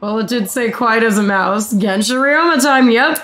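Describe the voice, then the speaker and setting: Chatty woman streamer with a higher voice. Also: moderate pace, fairly bright tone, faint music behind. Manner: chatty, prompts co-host with questions. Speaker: co-host of a game stream. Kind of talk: casual live gameplay chat.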